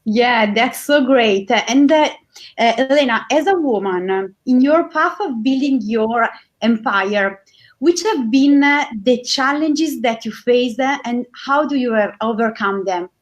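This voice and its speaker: A woman from Italy with an Italian accent, Italian her first language, asking interview questions hesitantly with many pauses. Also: fairly high pitch.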